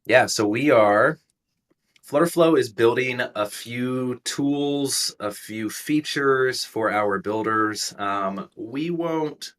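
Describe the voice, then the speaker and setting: A man in his thirties hosts a casual livestream, his lowish voice raspy and stuffed up from allergies, though still bright in tone. He rambles along and makes jokes at his own expense. He sits a little back from the microphone. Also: distant mic. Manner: rambling, self-deprecating joking. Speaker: livestream host.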